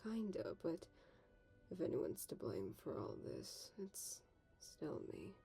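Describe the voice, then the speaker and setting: A woman acting a weary, haunted male war leader in a scripted comic dub, speaking haltingly in breathy murmurs. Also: low voice, big pitch swings, mellow, full character voice. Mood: guilty, bitter. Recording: faint music behind.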